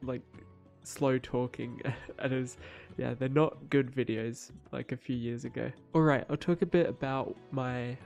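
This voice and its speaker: A man's voice: mid-range voice, fairly even intonation, a little slow, vocal fry, a little mellow, faint music behind. A young man, sounding a little nasal from a cold, casually narrating his building video with lots of fillers and restarts. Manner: rambling, many fillers and restarts. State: stuffy nose from a cold. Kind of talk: casual voice-over commentary.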